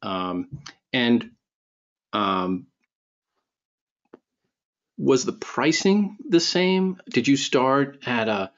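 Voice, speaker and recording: A man hosting a podcast, asking his guest questions in a relaxed way with fillers and repeated starts. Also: medium pitch, very slow, pauses often, highs cut off. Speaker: podcast host. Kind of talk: casual interview questions.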